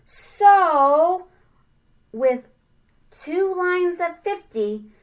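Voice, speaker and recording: A woman voicing the littlest ant in a storybook, small, bossy and eager, with urgent, drawn-out emphatic words. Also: very high voice, animated, voice pushed up, full character voice.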